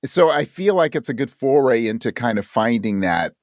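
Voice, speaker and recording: A man's voice: medium pitch, lively intonation, moderate pace, dry recording, clean studio sound. A man giving thoughtful, reflective answers as an interview guest, searching for his words as he explains. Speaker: podcast guest, audio drama creator.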